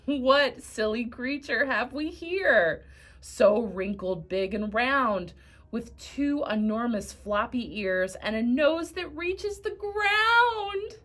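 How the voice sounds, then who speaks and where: A woman's voice: extremely high pitch, very animated, normal speed, hardly any pauses, voice pushed up. A woman voicing an arrogant male donkey in a storybook reading, scoffing at everything. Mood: mocking. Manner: scoffing, sneering.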